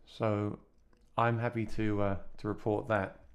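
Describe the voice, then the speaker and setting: A man with a deep voice, a gigging musician, chatting plainly through a gear review. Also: flat delivery, unhurried, clear, resonant tone, no room sound.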